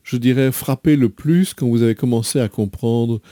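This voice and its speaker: A man hosting a radio interview, asking questions in halting phrases with repeated words. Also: mid-range voice, mellow, no echo, clean studio sound.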